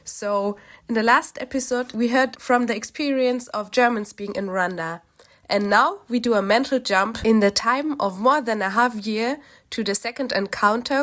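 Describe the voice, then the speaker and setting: A woman hosting a podcast, polite and measured, her voice a little hoarse from a cold as she introduces the episode and asks questions. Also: fairly high pitch, fairly bright tone. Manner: polite, measured, careful phrasing. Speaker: podcast host and interviewer.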